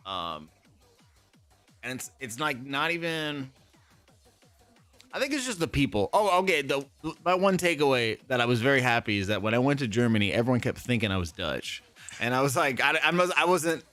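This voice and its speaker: A man chatting in an interview, telling stories with bursts of laughter and quick voices for the people he describes. His voice sits in a middle register. The sound is close and dry, with faint music underneath. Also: medium pitch, dry recording. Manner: animated storyteller, laughs often, acts out people he quotes. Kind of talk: casual interview chat.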